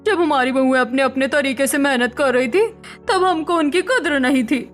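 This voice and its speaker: A woman's voice: high voice, moderate pace, a bit bright, soft background music. A woman playing a worried, money-minded middle-aged mother-in-law in a cartoon family melodrama, speaking in a fretful, lamenting way. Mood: remorseful.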